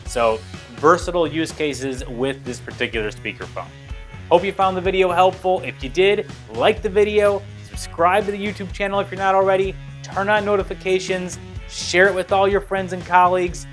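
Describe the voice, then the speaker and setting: A man's voice: sits high, fairly even intonation, moderate pace, faint music behind. A man in his thirties gives a conversational, step-by-step product demo in an explanatory manner. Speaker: tech reviewer and presenter.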